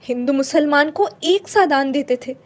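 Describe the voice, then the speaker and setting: A woman's voice: high voice, normal speed. A woman narrator reading a literary short story in a dramatic storytelling style. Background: faint music.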